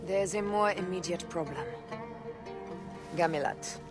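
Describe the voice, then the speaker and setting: A woman plays a shrewd, serious leader of assassins in a dramatic video game. Her lowish voice is controlled and deliberate, set over prominent, loud music. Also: close to an everyday voice. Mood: grave, accusing.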